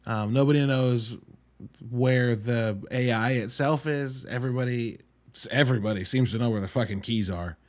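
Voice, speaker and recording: A man's voice: mid-range voice, a little mellow. Casual, wry male podcast host. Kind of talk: casual solo movie review.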